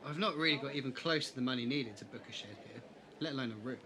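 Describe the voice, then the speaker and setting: A man's voice: medium pitch, fast, bright, forceful tone, some chatter behind, a little hiss or hum. A man playing a candid, easygoing, teasing young man in a fan audio drama. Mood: frank, teasing.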